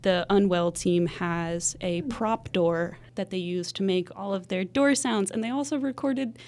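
A woman's voice: medium pitch, a little slow. Podcast co-host woman, easygoing. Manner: relaxed, frequent ums. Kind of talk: casual podcast chat.

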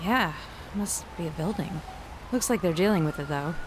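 A woman's voice: lowish voice, expressive, normal speed, lightly acted. A woman playing an ordinary middle-aged mother and runner in an audio drama, understated and matter-of-fact. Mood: distracted. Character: ordinary working mother, capable runner narrating her own story. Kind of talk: first-person audio drama narration and dialogue. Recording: noisy outdoor soundscape with vehicles and sirens.

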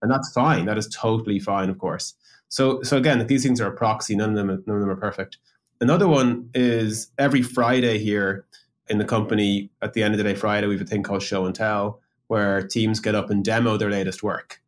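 A man's voice: fairly low pitch, steady tone, fairly quick, a little hiss or hum. A man talking as a tech executive and co-host in a conversational business discussion, explaining informally with quoted examples.

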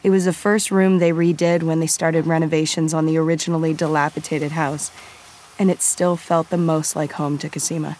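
A woman narrates scripted fiction in a low voice. She reads as an audiobook storyteller, setting scenes and describing characters. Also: little pitch movement, a little fast, darkish tone, a little hiss or hum.

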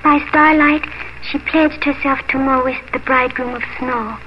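A woman's voice: sits high, fairly even intonation, moderate pace, lightly acted. An actress playing a scornful, foreboding woman who tells legends in an ominous, incantatory way in a 1950s radio drama. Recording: dull, noisy old radio recording.

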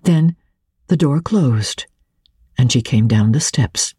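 A woman narrates literary fiction with dramatic expression. Her voice is very husky and mellow, and her pitch moves about freely in a lively reading. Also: takes it slowly, dry recording, studio quality. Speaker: audiobook reader.

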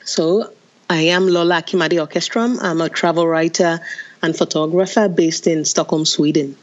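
A woman's voice: lowish voice, fairly even intonation, moderate pace, muffled recording. A woman from Nigeria, a travel writer, answering interview questions in a friendly, conversational way. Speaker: travel writer and photographer guest.

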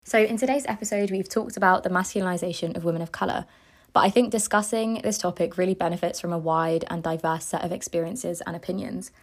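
Young woman, podcast host, earnest scripted read, lowish voice. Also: steady tone, fast. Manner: earnest and personal, reading from a script. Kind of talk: scripted essay-like narration.

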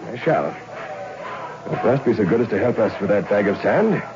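An actor playing a stern, impatient prison chief in a 1960s radio horror drama, brisk, formal and commanding. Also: male voice, fairly low pitch, lively intonation, natural voice. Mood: firm, dismissive. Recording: muffled, hissy old radio recording.

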